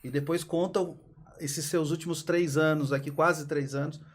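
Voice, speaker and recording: A man's voice: a little high, steady tone, moderate pace. A man hosting a casual interview podcast, asking the guest friendly, prompting questions. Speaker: podcast host.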